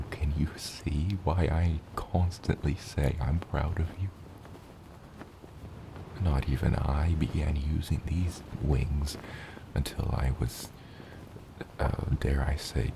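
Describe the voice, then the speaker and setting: Man voicing a very deep, gravelly dragon father. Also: fairly even intonation, background noise, exaggerated voice. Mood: proud, tender. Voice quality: very deep, gravelly, often breathy and whispered. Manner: slow, tender, encouraging, softly playful. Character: gentle proud old dragon father coaching his hatchling. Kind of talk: ASMR roleplay acting.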